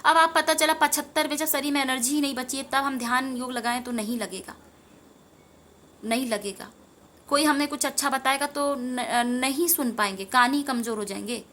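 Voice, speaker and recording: A woman's voice: high voice, moderate pace, fairly bright tone, slight background noise. A woman giving an informal spiritual talk to viewers, earnest and rambling, repeating phrases for emphasis. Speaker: tarot reader and meditation teacher.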